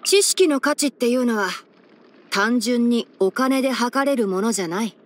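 Woman doing a boyish anime game fox ranger guy, kinda high voice, helpful n frank, bit teasing, scholarly. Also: expressive, moderate pace, close to an everyday voice. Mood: helpful, composed. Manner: matter-of-fact, instructive, gently teasing. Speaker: character voice actor. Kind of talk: anime game character voice lines.

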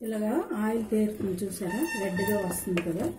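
A woman's voice: medium pitch, steady tone, brisk. Lady showing a recipe, talks right to viewers, echoey kitchen, bit of noise w pots clinking. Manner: instructive, addresses viewers directly. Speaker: home cook presenting a recipe. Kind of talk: informal cooking demonstration. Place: indoor home kitchen at the stove. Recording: roomy kitchen sound with background noise.

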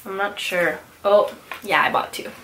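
A woman's voice: medium pitch, steady tone, measured pace, bright, forceful tone, a little hiss or hum. Chatty young woman, slightly nasal from a cold. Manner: chatty, rambling, self-correcting. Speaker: beauty vlogger. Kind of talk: casual haul video chat. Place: small bright bedroom with louvered closet doors.

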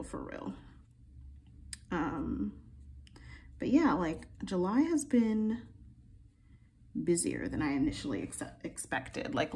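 A woman's voice: fairly low pitch, slight background noise. A woman hosting a casual budget vlog, chatty and playful with slang, sounding groggy in the morning. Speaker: budgeting channel host. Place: home filming room, desk seen from above.

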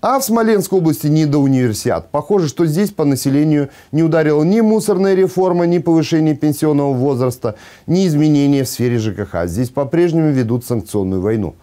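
A man's voice: a little high, expressive, fairly quick, mellow. A man in his thirties presenting scripted satirical news in a sardonic manner. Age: 30s. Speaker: news programme host. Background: music bed.

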